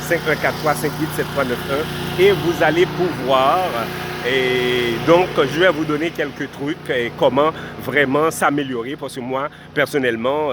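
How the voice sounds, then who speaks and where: Man, Creole speaker, mid-pitched voice, formal address to viewers, repeats points, outdoors, faint street traffic behind. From Haiti. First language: Haitian Creole. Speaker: vlogger giving life advice. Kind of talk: direct-to-camera advice talk.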